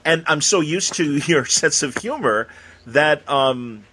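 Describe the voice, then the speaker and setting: A man's voice: a little high, animated, slow, pushed and bright, slight background noise, poor audio. An American man from Illinois hosting an interview podcast in a chatty, informal, conversational way. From Illinois, USA. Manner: chatty, informal, says "man" and "you know". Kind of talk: casual conversational hosting with ad reads.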